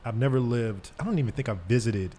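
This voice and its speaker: Man, host, middle pitch, curious, rambling, laughs easily. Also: quick pace, mellow, slight background noise. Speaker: podcast host, doctor. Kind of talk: casual conversational interview.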